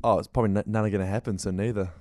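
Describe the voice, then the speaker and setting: A man's voice: on the low side, steady tone, racing, warm tone, slight background noise. A man with a New Zealand accent, a radio producer chatting casually, insistent and argumentative. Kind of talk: casual chat.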